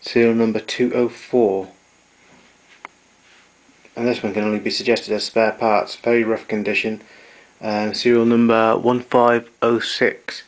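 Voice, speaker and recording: A man narrating a casual show-and-tell of his tape recorders, explaining step by step with pauses and fillers. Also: fairly low pitch, flat delivery, rough recording.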